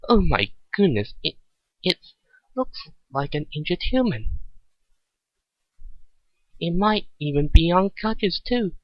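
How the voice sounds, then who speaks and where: A man voicing a determined, caring young female mechanic in a story reading, with earnest, halting delivery. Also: sits high, big pitch swings, no room sound, muffled recording, pitched above the performer's own voice, full character voice. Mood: shocked. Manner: earnest, halting inner monologue.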